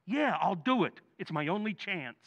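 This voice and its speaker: Male actor as bumbling radio-comedy dad: sharp, high voice, flustered and blustering. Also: sing-song, normal speed, no echo, highs cut off, exaggerated voice. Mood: panicked. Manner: blustering, exclamatory. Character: flustered, bumbling, panicky family man. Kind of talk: old-time radio comedy acting.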